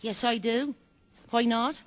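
A woman's voice: on the high side, slow, slight background noise. An actress playing a brazen, scornful, callous working-class woman in a radio drama. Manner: defiant, scornful, cackling.

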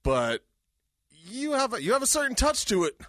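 A man's voice: very high voice, huge pitch swings, moderate pace, fairly bright tone, dry recording, clean studio sound. A man co-hosting a sports podcast, trading jokes and playful banter. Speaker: podcast co-host, hockey show host. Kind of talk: casual sports chat.

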